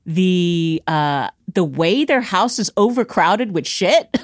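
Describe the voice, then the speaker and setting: Casual woman film critic, slightly low, dry recording, laughs easily. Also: slow pace, no echo. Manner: animated, laughs often, swears casually. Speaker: film podcast co-host. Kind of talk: casual film review chat.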